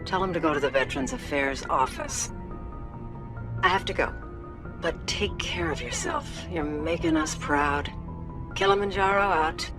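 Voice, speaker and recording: An actress playing a brisk, dutiful middle-aged female naval officer who is also a caring mother, in a video game. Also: deep voice, very animated, exaggerated voice. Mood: somber. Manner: brisk, dutiful, quietly concerned. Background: game music.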